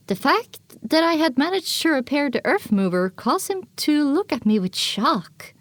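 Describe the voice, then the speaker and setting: Woman narrating, fairly high, dry sound. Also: dry recording. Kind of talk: scripted first-person story narration.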